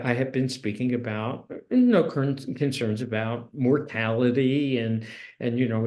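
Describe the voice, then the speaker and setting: A man in his seventies teaching a course, talking in a halting way with many restarts and fillers, and reading passages from a book aloud. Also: medium pitch, darkish tone. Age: 70s. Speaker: course instructor. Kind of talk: lecture with read-aloud passages.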